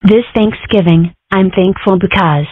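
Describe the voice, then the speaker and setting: A woman's voice: medium pitch, measured pace, husky, no echo. Woman doing sarky opinionated cartoon schoolgirl, wry, dry tinny phone-like recording. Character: opinionated, mischievous, sarcastic schoolgirl. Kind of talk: comic strip dub. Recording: muffled, phone-like narrow sound.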